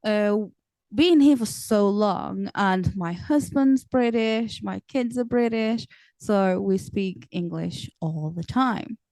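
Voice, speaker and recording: Woman, middle-aged, Portuguese native speaker, relaxed podcast host, dry close sound. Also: medium pitch, takes it slowly, no room sound. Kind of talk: casual podcast chat.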